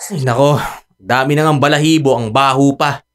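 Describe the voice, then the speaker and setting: An irritable live-in boyfriend acted by a man in a radio drama. He grumbles and complains in a mid-pitched, exasperated voice. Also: fairly even intonation, normal speed, fairly bright tone, close to an everyday voice. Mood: grumbling, exasperated. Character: irritable live-in boyfriend who resents the dog.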